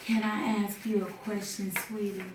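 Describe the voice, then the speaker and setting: A woman's voice: medium pitch, flat delivery, normal speed, close to an everyday voice. Woman playing seductive teasing makeup artist, film table read, distant mic, room echo. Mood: teasing, flirty. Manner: teasing, sweet-talking. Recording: echoey room, distant mic, faint noise.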